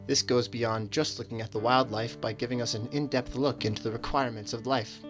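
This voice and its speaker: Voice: medium pitch, fairly even intonation, brisk, natural voice. Someone acting an eager, precise scientist explorer, guitar behind. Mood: explaining. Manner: explanatory, keen, formal phrasing. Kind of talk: radio drama acting. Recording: background guitar music under the voice.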